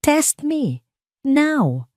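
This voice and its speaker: A woman's voice: high-pitched, very animated, extremely slow, darkish tone, no echo, voice pushed up, heavily acted. A proud, commanding male doctor in an audio drama, acted out by a woman narrator. He is a desperate father who speaks in terse, insistent sentences with a Filipino accent, in a very dry recording. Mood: urgent, demanding.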